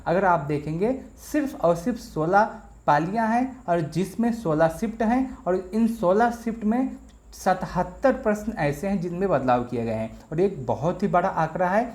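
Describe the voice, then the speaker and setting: Lively man explaining exam results step by step. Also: sits high, moderate pace. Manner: repetitive step-by-step explaining with worked examples; addresses viewers directly as friends. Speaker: exam-prep video host. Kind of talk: explainer video talk.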